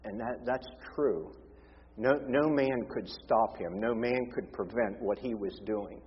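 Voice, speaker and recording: Hoarse preacher man in a reverberant hall. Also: on the low side, unhurried. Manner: impassioned, swinging between forceful emphasis and hushed tones. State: hoarse, losing his voice. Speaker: pastor. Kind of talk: sermon. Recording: reverberant hall, dull lo-fi sound.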